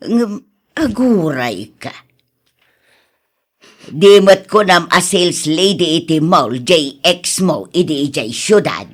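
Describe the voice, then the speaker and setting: A woman's voice: on the low side, expressive, takes it slowly, long pauses. A woman voicing a chiding, talkative mother of grown sons in an Ilocano radio drama, with an emphatic way of talking. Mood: chiding, gossipy.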